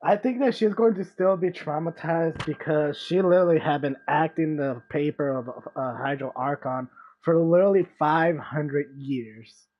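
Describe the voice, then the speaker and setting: A woman's voice: deep voice, normal speed. A woman streamer giving casual commentary while playing a game. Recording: dull lo-fi mic, game music behind. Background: game music.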